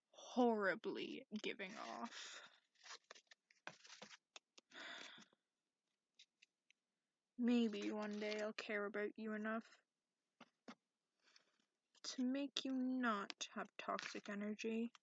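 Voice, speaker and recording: Cranky, sarcastic male dragon played by a woman for ASMR roleplay; unhurried, soft, gravelly fry, grudging manners. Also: medium pitch. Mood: sullen, grumbling. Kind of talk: ASMR roleplay, partly whispered. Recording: lo-fi, noisy close recording with clicks.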